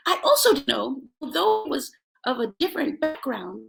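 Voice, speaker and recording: A woman from Jamaica hosting a self-help show, giving motivational talk and personal stories in an emphatic, expressive way, repeating key words for effect. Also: high voice, normal speed, vocal fry, music in the background.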